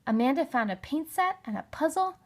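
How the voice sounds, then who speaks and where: A woman narrating a children's storybook in an expressive read-aloud style. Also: sits high, fairly quick, slight background noise. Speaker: storybook reader.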